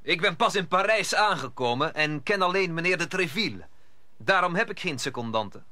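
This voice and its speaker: Radio play: proud young duelist, high, piercingly bright, impulsive. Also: male voice, lively intonation, moderate pace, no room sound. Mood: cool, polite. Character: hot-headed, proud young male swordsman newly arrived in Paris. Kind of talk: audio drama acting.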